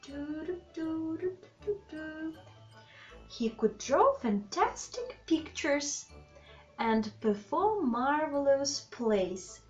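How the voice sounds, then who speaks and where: A woman reading a children's bedtime story aloud in an expressive, deliberate way, with asides to young listeners. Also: sits high. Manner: slow, deliberate, animated phrasing with questions to the child listeners. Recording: slightly dull, lo-fi sound with music.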